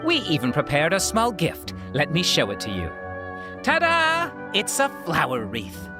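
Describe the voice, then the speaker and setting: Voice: mid-range voice, huge pitch swings, a little slow. Teasing, upbeat townsperson. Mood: cheerful. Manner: playful, teasing. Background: game music.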